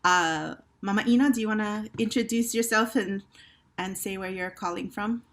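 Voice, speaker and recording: A woman's voice: mid-range voice, normal speed. Chatty woman in her fifties. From Hawaii. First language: Hawaii Pidgin Creole. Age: 50s. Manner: chatty and animated, with laughs and fillers. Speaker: theater company director. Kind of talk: panel introduction over video call. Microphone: video call.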